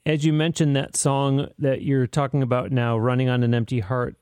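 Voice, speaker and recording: A man's voice: mid-range voice, little pitch movement, normal speed, darkish tone, clear, resonant tone, no echo. A man hosting a podcast interview in an articulate, orderly way, with prepared introductions and questions. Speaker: podcast host.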